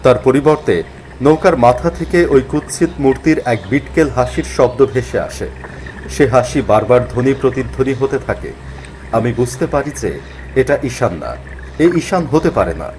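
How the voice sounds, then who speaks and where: A man's voice: mid-range voice, expressive, normal speed, darkish tone, a little hiss or hum. A man narrating a scripted horror story in a dramatic first-person storytelling style.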